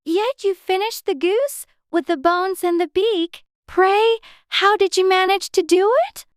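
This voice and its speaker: Woman as a very high-voiced, polite young girl. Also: a little slow, no echo, clean studio sound. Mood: dutiful recitation. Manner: polite, proper, earnest. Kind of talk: dramatized audiobook character acting.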